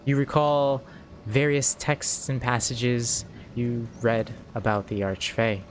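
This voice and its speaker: A man running a tabletop game as game master, reading lore aloud in halting, broken phrases. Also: medium pitch, flat delivery, a little hiss or hum. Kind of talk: narrating a tabletop game over a call.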